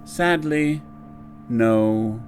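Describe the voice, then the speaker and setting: Courtly, ominous haunted-mansion innkeeper. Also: male voice, mid-range voice, a little hiss or hum. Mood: grave storytelling. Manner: formal, measured, genteel. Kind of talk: horror audio drama acting.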